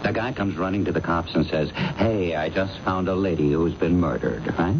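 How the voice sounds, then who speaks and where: An actor playing a shrewd, patient police inspector in a 1970s radio drama, probing and needling with dry insinuation. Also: male voice, low voice, normal speed. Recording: muffled, noisy old radio broadcast recording.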